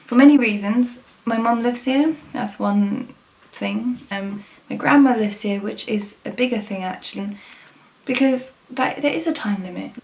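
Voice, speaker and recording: A woman's voice: a little high, unhurried, vocal fry, a little mellow, poor audio. A woman, talking frankly and casually to camera, swearing now and then. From England. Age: 20s. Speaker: vlogger.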